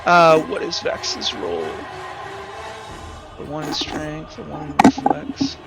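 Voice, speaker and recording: A man acting as game master, narrating and explaining rules in a halting, self-correcting way. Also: on the high side, very animated, gravelly, over music. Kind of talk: improvised tabletop game narration and rules talk.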